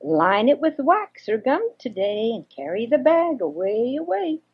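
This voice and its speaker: A woman's voice: on the high side, sing-song, measured pace, breathy edge, voice pushed up, heavily acted. An older woman voicing little storybook animals that chant rhyming advice in a singsong way. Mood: advising. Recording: dull homemade 1980s cassette recording.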